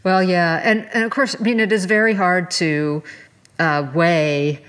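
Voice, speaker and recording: A woman's voice: lowish voice, fairly even intonation, measured pace, fairly bright tone, smooth. An American woman talks as a podcast co-host in an explanatory, conversational style. She lays out policy matters informally. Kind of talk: explanatory discussion of environmental policy.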